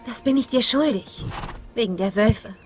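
A woman playing a brave, gentle young rider in an animated adventure, with a caring manner. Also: fairly high pitch, moderate pace, over music, close to an everyday voice. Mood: awed, warm. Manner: caring, soothing.

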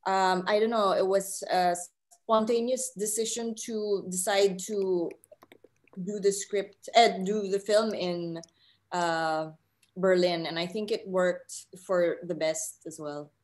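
A woman's voice: mid-range voice, steady tone, slow pace. A woman in her thirties from the Philippines, giving casual, reflective interview answers on a video call with a hesitant manner. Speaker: film writer and director, panel guest. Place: living room on a video call. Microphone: laptop mic on video call.

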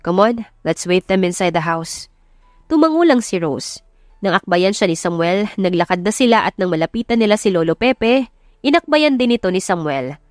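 A woman's voice: mid-range voice, no echo, studio quality. A woman with a Filipino accent narrating a scripted romance story. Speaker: audiobook narrator.

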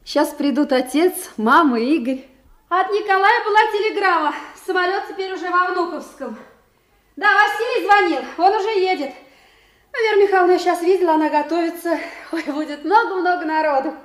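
A bubbly young granddaughter in a Soviet radio play. Her voice is high and fond, chattering in an echoey studio recording. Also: a little fast, a bit bright. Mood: excited, chatty. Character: lively, affectionate young granddaughter, a student. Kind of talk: Soviet radio drama acting.

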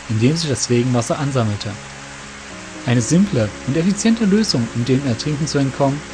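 A male narrator reads a fantasy tale in a descriptive storytelling style. His voice sits at a medium pitch, with music clearly heard behind it. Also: poor audio. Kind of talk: scripted fantasy audiobook narration.

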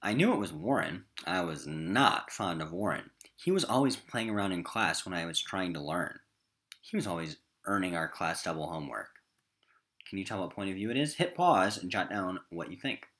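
A man's voice: low voice, big pitch swings, moderate pace, fairly bright tone. A man with an American accent teaching a recorded literature lesson in an emphatic, informal classroom style.

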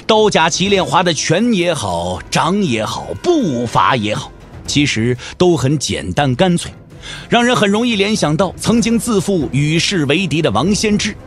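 A man narrates a wuxia story dramatically. His pitch is high and swings widely, with music playing behind him. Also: very animated, unhurried. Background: background music.

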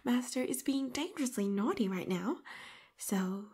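A clingy, possessive female robot companion, voiced by a woman for an audio roleplay. Her voice sits a little high and comes out breathy and hushed, right up against the mic. She pleads, then turns eerily calm and controlling. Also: moderate pace. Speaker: voice actress.

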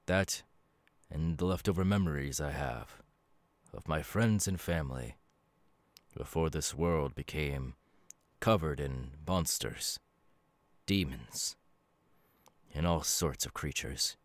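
A gentle, melancholy demon who was once human , acted by a man in an ASMR audio roleplay. The voice is extremely low and slow, close and very dry, with reflective pauses between phrases. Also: no room sound, heavily acted. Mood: calm, wistful. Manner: measured, pausing, reflective. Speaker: voice actor.

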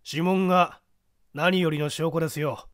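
Stern, self-assured police detective for a mystery audiobook, acted by a man, brusque, pitched fairly high. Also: fairly even intonation, moderate pace, dry recording, clean studio sound, natural voice. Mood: smug. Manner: brusque, authoritative.

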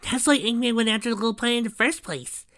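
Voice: medium pitch, quick pace, a bit bright, no echo, studio quality, voice pushed up, natural voice. A performer voicing an earnest, cheerful young boy fox sidekick, eagerly explaining in a light, youthful tone.

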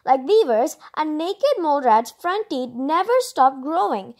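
A female host reading a children's picture book aloud, expressively, with chatty asides and drawn-out emphasis. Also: high voice, sing-song, a little mellow.

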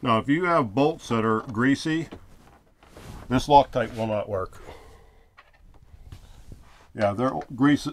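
A middle-aged man talks through a hands-on repair in a small RV bedroom in a plain, everyday voice of medium pitch. He recorded it on a phone held close, with a faint hiss behind him. He thinks aloud while he works. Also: takes it slowly, pauses often. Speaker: RV owner showing a repair. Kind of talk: casual how-to narration.

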